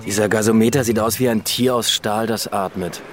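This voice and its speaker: A man's voice: fairly low pitch, fairly even intonation, brisk, over music, close to an everyday voice. An actor playing a troubled, sardonic man in a radio drama, speaking in terse, dry sentences. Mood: hungover, curious. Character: troubled, sardonic man confiding in a drinking companion. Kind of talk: naturalistic radio drama dialogue.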